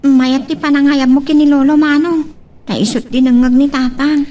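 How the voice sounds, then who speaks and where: High-voiced, caring daughter acted by a woman. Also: steady tone, normal speed, mellow, natural voice. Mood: concerned. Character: caring young daughter, anxious about her parents. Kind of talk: audio drama acting.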